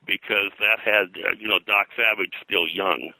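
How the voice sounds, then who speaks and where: An older man speaking as an interview guest, reminiscing in a halting, digressive way and often clearing his throat. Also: on the low side, steady tone, bright, forceful tone, no echo, low-quality recording. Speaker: guest, pulp and comics historian. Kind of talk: interview conversation, reminiscing about his work.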